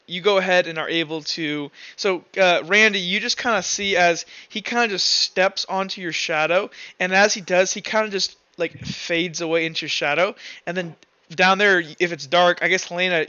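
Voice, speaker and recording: A man with a high, bright voice runs a tabletop game as game master. He narrates casually and haltingly, piling up filler words. The sound is close and dry but a bit lo-fi. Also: clean tone, dry recording. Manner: halting, rambling, heavy use of "like".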